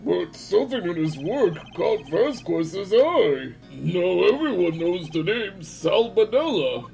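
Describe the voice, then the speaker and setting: Woman acting a slow, creaky, mild man. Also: fairly low pitch, very animated, mellow, heavily acted, rough recording. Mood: informative, thoughtful. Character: mild, polite, hesitant male film actor. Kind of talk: fan-dub video game voice acting. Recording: prominent music under the voice. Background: music.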